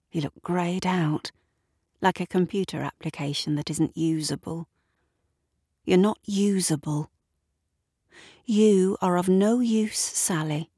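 Anguished, self-loathing woman, raw and husky. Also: fairly low pitch, dark tone, no room sound, studio quality. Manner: bitter, scornful, swinging into anguished wailing. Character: desperate, self-loathing drunk woman berating her mirror reflection.

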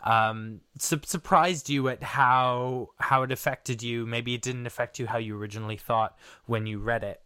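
A man's voice: medium pitch, steady tone, normal speed, few pauses, bright, forceful tone, clean tone, no room sound. A man hosting a casual podcast interview, asking questions as the series creator.

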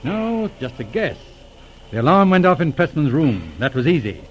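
A calm shrewd police inspector in an old radio show, courteous, lots of rise and fall in the voice. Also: male voice, a little high, normal speed, highs cut off, slight background noise. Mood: calmly probing. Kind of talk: 1940s radio drama acting. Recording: old radio drama recording.

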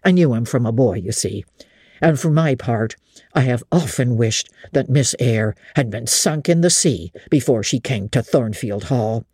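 A woman's voice: very deep voice, animated, measured pace, warm tone, slightly rough, no echo, studio quality, voice pushed down, full character voice. A woman voicing an old male country servant, who tells a grim tale in old-fashioned dialect. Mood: gossiping. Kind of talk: dramatic audiobook reading.

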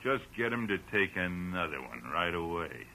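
Sharp, forceful, scheming country man. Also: low-pitched, sing-song, moderate pace, heavily acted. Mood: coaxing. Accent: American. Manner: insistent, punchy, plain-spoken. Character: scheming, pushy, hot-headed rural American man. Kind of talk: 1940s radio drama acting. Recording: hissy old radio broadcast recording.

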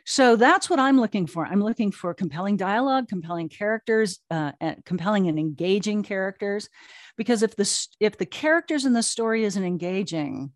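A woman talking casually as an interview guest, telling things in an animated storyteller way. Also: medium pitch, fairly quick, no echo, studio quality. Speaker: interview guest, audio drama writer and producer.

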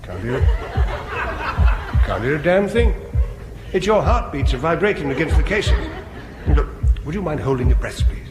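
Chirpy, dithering English officer, highish voice. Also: male voice, very animated, normal speed, long pauses, over music. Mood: fussy, absorbed. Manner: jovial, stammering, chuckling. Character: chirpy, dithering male bomb disposal officer. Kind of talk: 1970s radio sitcom acting. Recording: echoey, noisy old radio recording.

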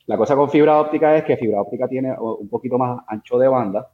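A man's voice: mid-range voice, fast talker, narrow, tinny audio. A man with a Puerto Rican accent explaining technical things informally as an interview guest, hesitating and mixing in English tech terms. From Puerto Rico. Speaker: interview guest, engineer in networks and security.

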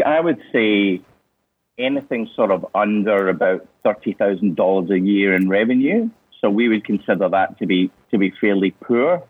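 A man's voice: sits low, breathy edge, no echo. A Scottish man speaking as an interview guest over a phone call, answering hesitantly with many restarts. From Scotland. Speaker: interview guest, business owner. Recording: tinny phone line.

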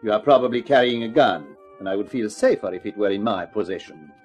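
An actor playing a smooth, menacingly polite gangster with formal, precise phrasing in a 1950s radio crime drama. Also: male voice, on the low side, a little fast, darkish tone, soft background music, close to an everyday voice. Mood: polite menace. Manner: formal, courteous, precise phrasing with veiled threats.

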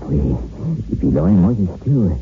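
An actor playing a superstitious, plain-spoken rustic villager in a 1960s radio drama, halting and folksy. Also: male voice, low voice, sing-song, background noise. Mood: shaken. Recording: tinny old radio recording.

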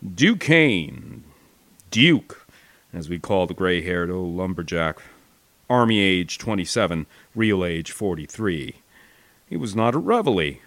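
American guy reading a war memoir audiobook, lowish voice, dry close sound, tells it with drama. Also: measured pace, no room sound. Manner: expressive, dramatic storytelling. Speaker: audiobook narrator. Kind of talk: scripted first-person war memoir narration.

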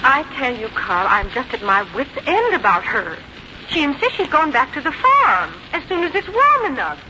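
An actress playing a fretful, defensive grown daughter in a radio drama, insistent and complaining. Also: female voice, high voice, very animated, normal speed. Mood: fretful, exasperated. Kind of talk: 1950s radio drama acting. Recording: dull, hissy old radio recording.

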